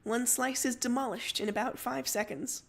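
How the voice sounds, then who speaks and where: A woman narrates fiction aloud for a livestream. Her voice sits a little high, and she reads in an expressive storytelling style. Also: normal speed, vocal fry.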